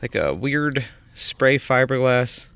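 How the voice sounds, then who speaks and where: A man's voice: mid-range voice, animated, slow pace, a bit bright, slight background noise. A young man touring an abandoned film set for his vlog, talking loosely with lots of fillers and casual swearing, recorded on a handheld camera outdoors and inside an empty building. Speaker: vlogger touring a site. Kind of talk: casual walk-and-talk vlog.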